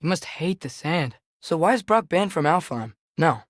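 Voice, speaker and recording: A man's voice: a little high, animated, normal speed, dry recording, studio quality. A young man playing a curious, earnest teenage boy in a Norse myth video game, talking in a natural, slightly hesitant way. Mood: curious, casual.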